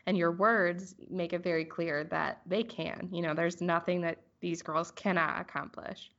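A woman's voice: fairly low pitch, fairly even intonation, unhurried, a bit bright. A woman hosting an interview podcast in an encouraging, effusive manner. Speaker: podcast host. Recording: slightly dull lo-fi sound.

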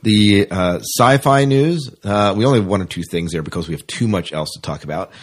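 A man with a deep, somewhat raspy voice co-hosts a fan podcast. He talks in a loose, chatty way, drifting into asides as he addresses the listeners. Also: fairly quick. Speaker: podcast co-host. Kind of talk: casual sci-fi fan podcast chat.

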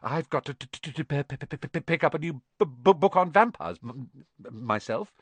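A man's voice: fairly high pitch, expressive, normal speed, husky, no room sound. A man voicing a pale, nervous young male professor with a heavy stammer in a storybook reading. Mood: timid. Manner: heavy stammer, timid and muttering.

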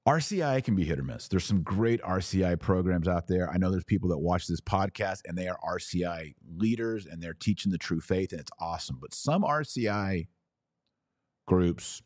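A man with a low voice hosts a religious podcast in a very dry recording. He speaks informally and directly to his listeners. His delivery is conversational, with firm emphasis on his points. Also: lively intonation, moderate pace, a little mellow, no room sound. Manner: emphatic, conversational, acts out imagined dialogue.

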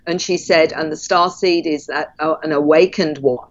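A woman with a low-pitched voice explains her ideas in a measured way, often pausing on ums. Her voice comes through a dull, lo-fi line. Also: fairly even intonation. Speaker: guest. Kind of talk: explanatory interview talk.

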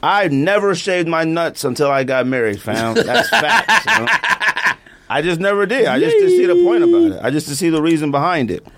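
Animated laughing male podcast host. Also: fairly high pitch, normal speed, noisy background. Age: middle-aged. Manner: animated, laughing storyteller, repeats "I'm not even joking". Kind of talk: casual chat with ad read.

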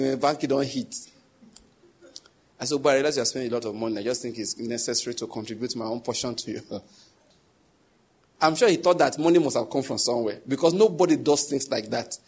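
A man's voice: medium pitch, husky. A young adult man preaching a sermon, emphatic, repeating key phrases and putting questions to the congregation. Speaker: pastor.

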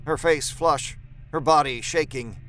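A man's voice: on the high side, a little slow, fairly bright tone, a little hiss or hum. A man narrating a horror story from a script.

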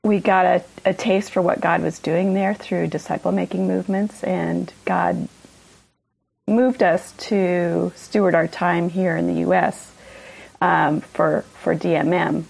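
A woman, introducing herself as a guest slowly and haltingly. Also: on the low side, steady tone, gravelly, darkish tone, husky. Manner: slow, halting, with fillers. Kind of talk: personal introduction in conversation.

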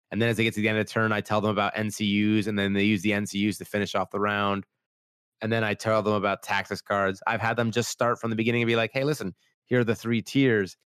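A man's voice: lowish voice, a bit bright, smooth, dry recording. Chatty man with a dry, close voice. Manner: rambling, many "like" fillers, acts out quoted lines. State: voice worn after being sick. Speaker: podcast host. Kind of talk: casual chat.

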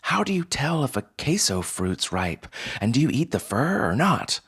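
An earnest young woman from a storybook, played by a male narrator. She sounds nervous and keen, a touch hesitant. Her voice sits higher than the reader's own, with a husky grain. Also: big pitch swings, normal speed, a bit bright, exaggerated voice. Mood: curious. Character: eager, nervous young woman starting a new job.

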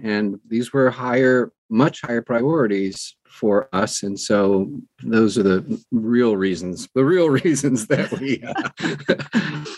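A middle-aged or older American man telling personal stories in an animated, conversational way as an interview guest. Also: on the low side, dry recording. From United States, New Jersey. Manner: animated, acts out quoted dialogue. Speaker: interview guest, audiobook narrator. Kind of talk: conversational storytelling.

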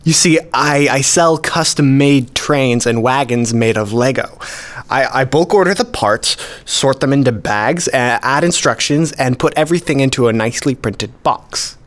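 Man acting as elderly male collector, polite, unhurried, matter-of-fact, contented, mid-pitched voice, radio play dialogue. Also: lightly acted. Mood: matter-of-fact, proud.

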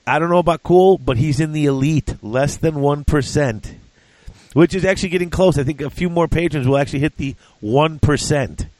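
A man's voice: fairly high pitch, dry recording, low-quality recording. Casting note: congested male podcast host, chatty, dry close sound. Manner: chatty, self-deprecating, with fillers and restarts. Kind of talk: casual podcast chat.

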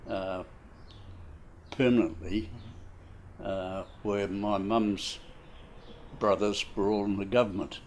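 A man in his nineties with a New Zealand accent, recalling his life in an interview, slow and halting with many fillers. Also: lowish voice, steady tone, slight background noise. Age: 90s. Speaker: interviewee, war veteran. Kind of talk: casual reminiscing interview.

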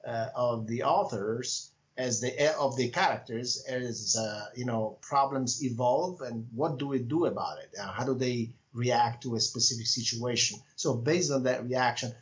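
A man with an Italian accent, speaking as an author being interviewed on a podcast, talking in a reflective, measured way with frequent pauses. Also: mid-range voice, dull audio, slight background noise. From Italy. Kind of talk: conversational interview.

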